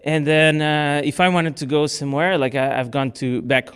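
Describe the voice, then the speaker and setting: A man's voice: a little high, fairly even intonation, normal speed, fairly bright tone, clean tone. A man from Portugal giving a talk to a club audience, answering questions in a casual way with many fillers and restarts. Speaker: speaker. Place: club meeting room.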